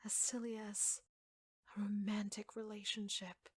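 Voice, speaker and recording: A woman playing an obsessive, jealous secretary in an ASMR audio roleplay, soft-spoken and often slipping into breathy whispers. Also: medium pitch, little pitch movement, a little slow, no echo, clean studio sound. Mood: brooding, resentful whisper. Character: obsessive yandere secretary, devoted, jealous, coldly menacing woman.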